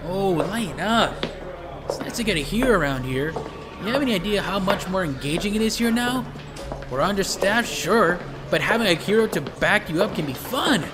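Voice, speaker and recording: A man's voice: sits high, lively intonation, moderate pace. An actor playing a chatty, wisecracking male police detective, a sardonic and eager sidekick in an audio drama. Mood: excited. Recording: reverberant hall, music underneath.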